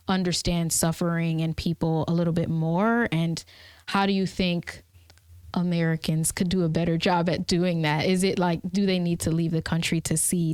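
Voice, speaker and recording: Host voice: young woman, low and smooth, casual and encouraging questioning. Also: darkish tone, dry recording, studio quality. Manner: chatty, encouraging, frequent affirmations. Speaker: podcast host, interviewer.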